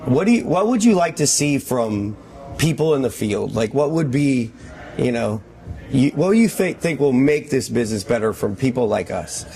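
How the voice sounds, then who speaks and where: A man co-hosting a casual conversation, agreeable and quick to say "yeah", asking the guest questions. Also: medium pitch, animated, a little mellow. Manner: agreeable, frequent short "yeah".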